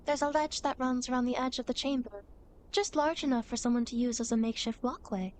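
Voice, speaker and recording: Demon girl guide for ASMR roleplay, played by a woman: high voice, smug, playful, theatrical teasing, some ambient noise behind. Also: brisk, close to an everyday voice. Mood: smug, explanatory. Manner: theatrical, teasing, mock-grand phrasing. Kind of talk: ASMR roleplay, acted monologue to the listener.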